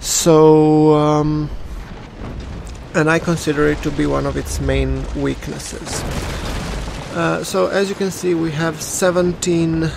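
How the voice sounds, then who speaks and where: A man with a non-native English accent narrating his naval battle gameplay, slow and hesitant with many fillers. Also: on the high side, steady tone, creaky, background noise. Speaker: gameplay commentator.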